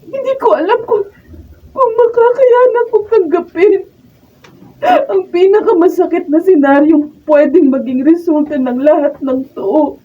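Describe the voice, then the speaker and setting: An actress playing an anxious young wife in a Filipino radio drama, hesitant, emotional and pleading. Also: female voice, very high voice, measured pace, distant mic. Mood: tearful, despairing. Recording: thin phone-like sound, off-mic.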